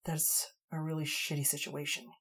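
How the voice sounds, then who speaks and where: Woman reading as a young male knight, calm, wry, low husky voice, storybook. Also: normal speed. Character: cocky young human knight, man.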